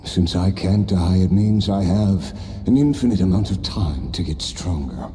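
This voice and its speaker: A deep, slow, brooding immortal warrior. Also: male voice, animated, long reverb tail. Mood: wry. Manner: reflective, wry, quiet laughs. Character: immortal, driven male warrior recalling his past. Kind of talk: game voice acting.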